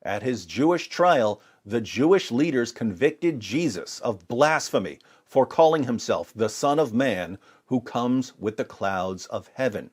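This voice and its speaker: A man's voice: medium pitch, lively intonation, a little slow, few pauses, a little mellow, breathy edge. A man giving polemical religious commentary with scripture readings, in an emphatic, sarcastic and theatrical way.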